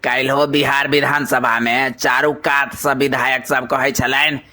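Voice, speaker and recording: Man as a slow-talking cartoon politician, Maithili accent. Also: medium pitch, level tone, sharp tone. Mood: animated gossip. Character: slow-talking, bemused male cartoon state legislator. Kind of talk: cartoon comedy dialogue. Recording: music under the voice.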